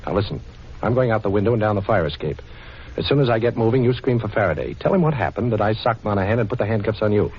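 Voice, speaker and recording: Cocky male sleuth, low voice, breezy, wisecracking, reassuring, 1940s radio drama, dull vintage recording. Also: expressive, fast, slightly rough. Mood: brisk, confident.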